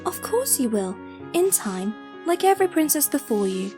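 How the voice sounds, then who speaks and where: A woman voicing a gentle, patient pony caretaker, reassuring and nurturing, in a cartoon audio drama. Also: sits high, big pitch swings, normal speed. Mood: fond, patient. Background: music.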